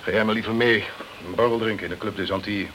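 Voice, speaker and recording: An actor playing a sceptical, self-protective British consul in a crime radio play, with a condescending, chummy, blustering way of talking. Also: male voice, lowish voice, steady tone, fast talker, a bit bright, slight background noise, close to an everyday voice. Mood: wry.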